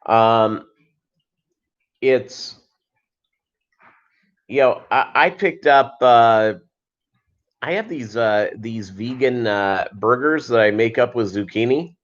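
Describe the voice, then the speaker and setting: A man's voice: mid-range voice, takes it slowly, pauses often. Man rambling casually on a muffled livestream. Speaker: livestream host. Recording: dull lo-fi livestream audio.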